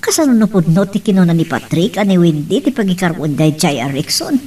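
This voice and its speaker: A woman's voice: lowish voice, very animated, brisk, dark tone, distant mic. A woman playing an anxious, scolding middle-aged mother of a grown son in an audio drama. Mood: worried. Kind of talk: Ilocano audio drama acting. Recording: off-mic, faint background noise, clicks.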